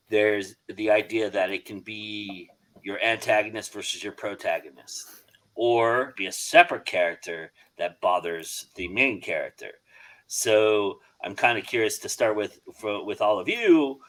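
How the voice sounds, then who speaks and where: A man's voice: on the low side, fairly bright tone, poor audio. A man moderating a writers' panel, trailing off in volume at the ends of phrases.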